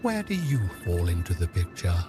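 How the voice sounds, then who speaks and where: An actor playing a grandiose, controlling mastermind in an audio drama, speaking in a deliberate, theatrical way. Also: male voice, low voice, wildly swooping pitch, music in the background. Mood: pleading, challenging. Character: controlling, grandiose male mastermind who reshapes realities. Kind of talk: scripted audio drama acting.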